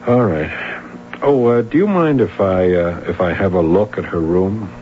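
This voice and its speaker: Weary low-voiced American police sergeant. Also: male voice, expressive, normal speed. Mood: probing. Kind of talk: 1970s radio drama acting. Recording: dull, noisy old radio broadcast.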